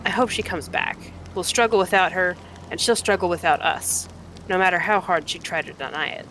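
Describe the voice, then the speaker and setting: A woman voicing a frank, earnest young horned male fighter in a fantasy game, in a measured, conversational way. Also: fairly low pitch, fairly bright tone, slight background noise, close to an everyday voice, low-quality recording. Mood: wry, matter-of-fact. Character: earnest young horned mongrel man, frank, wry cave-dwelling fighter.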